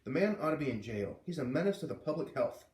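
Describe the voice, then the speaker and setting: A man's voice: fairly high pitch, sing-song, fast, a little mellow, roomy sound, far from the mic. A man voicing an angry, indignant mother in a children's story he reads aloud. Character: irate mother, middle-aged woman, indignant.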